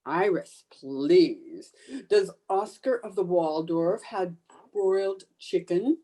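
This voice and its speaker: A woman's voice: on the low side, animated, slow pace, dark tone. A woman playing a scatterbrained, stubborn, cheerful housewife in an old-time radio comedy, with theatrical, emphatic delivery. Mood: blithely confident.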